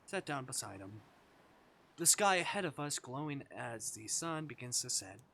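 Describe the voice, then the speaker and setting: A man's voice: mid-range voice, sing-song, normal speed, sharp tone, a little hiss or hum. A man streaming live, reading visual novel narration aloud and now and then tripping over the words and correcting himself.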